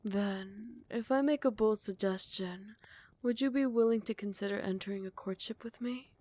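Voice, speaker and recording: Woman acting a courtly, soft-spoken vampire royal, close and dry. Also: medium pitch, dry recording, lightly acted. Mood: earnest, admiring. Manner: formal, archaic, measured courtly phrasing. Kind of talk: ASMR roleplay, romantic fantasy acting. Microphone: close microphone, dry.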